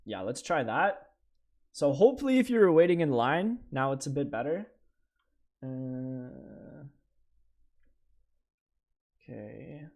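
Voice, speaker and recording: A young man from Canada, live-streaming a flight game and chatting with viewers in a stammering, excitable way. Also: mid-range voice, takes it slowly, long pauses. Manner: animated, stammering, talks to chat. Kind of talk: live gameplay stream chat.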